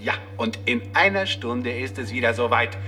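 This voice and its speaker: An actor playing a slick, cheery television presenter of the future in a German radio play, chattily pitching products to viewers. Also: male voice, animated, moderate pace, bright, forceful tone. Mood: gushing promotion. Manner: gushing, chatty showman addressing viewers. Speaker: television show host. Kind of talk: 1960s-90s German radio play, mock broadcast patter. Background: music.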